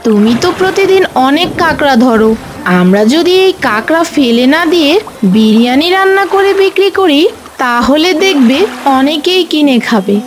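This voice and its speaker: Woman voicing a high-pitched hopeful village wife. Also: lively intonation, moderate pace. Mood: coaxing. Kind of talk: animated folk tale dialogue.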